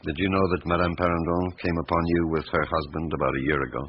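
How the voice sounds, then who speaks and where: Weary male detective played by an actor, deep register, measured and dry, radio drama with audible hiss. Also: little pitch movement, lightly acted. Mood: inquisitive. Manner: probing, measured questioning with dry retorts. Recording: muffled, hissy radio drama recording.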